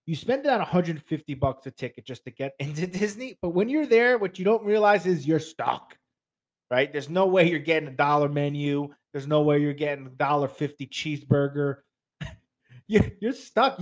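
A man in his thirties from New Jersey talks through a casual solo podcast with a slightly raised pitch. He is stuffed up and congested, yet stays chatty and upbeat, speaking straight to his listeners. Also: expressive, a little fast. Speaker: fantasy football podcast host.

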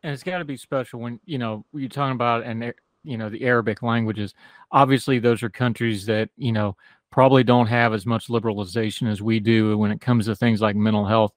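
A man's voice: mid-range voice, steady tone, a little fast, no echo. A man with an Appalachian accent hosting a talk radio show, folksy and chatty, interviewing a guest. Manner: folksy, talkative, self-deprecating.

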